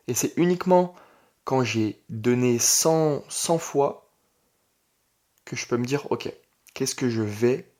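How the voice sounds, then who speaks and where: Young adult male, medium pitch. Online creator delivering an informal, emphatic solo vlog with repeated key phrases. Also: a little mellow. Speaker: online entrepreneur and video creator. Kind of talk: casual vlog monologue.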